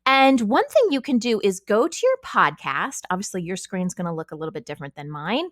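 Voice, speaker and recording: A woman giving an informal, explanatory software walkthrough, talking conversationally and often checking in with the listener. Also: mid-range voice, sing-song, brisk, no room sound, studio quality. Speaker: podcast network host giving a tutorial. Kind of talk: screen-share software walkthrough, informal instruction.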